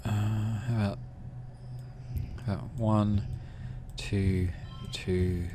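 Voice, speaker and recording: A man's voice: fairly low pitch, flat delivery, very slow, over music. A man casually hosting a game playthrough, reading narration aloud and muttering his thinking as he plays. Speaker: gaming channel host.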